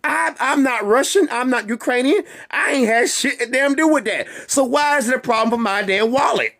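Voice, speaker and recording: A man's voice: very high-pitched, moderate pace, vocal fry, fairly bright tone. A man hosting his own channel, delivering a heated, profane rant to viewers with a string of rhetorical questions and repeated phrases. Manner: heated and emphatic. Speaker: channel host. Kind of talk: ranting monologue to viewers.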